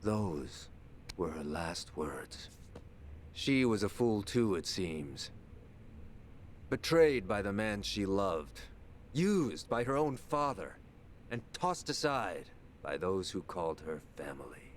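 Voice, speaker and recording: An actor playing a bitter, vengeful son of a crime family in a game cutscene, measured and contemptuous. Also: male voice, very animated, heavily acted. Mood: vengeful anger. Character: bitter, vengeful, cold-hearted son of a ruined yakuza family. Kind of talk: dramatic video game cutscene monologue. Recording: rumbling background noise.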